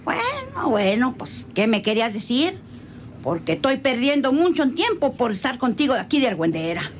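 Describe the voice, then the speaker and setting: Casting: dismissive, sharp-tongued hacienda woman, Mexican accent, higher register, old radionovela with background noise. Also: sing-song, normal speed. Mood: scornful. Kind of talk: radionovela acting.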